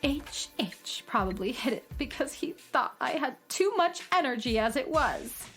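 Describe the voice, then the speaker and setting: A woman acts out a cocky, excitable young space adventurer for a children's radio play. Her voice sits high and leaps around energetically. She brags in slangy bursts, with music faintly behind her. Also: a little slow, full character voice. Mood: busy, self-satisfied. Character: brash, boastful, hyperactive young female space adventurer. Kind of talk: children's sci-fi radio comedy acting.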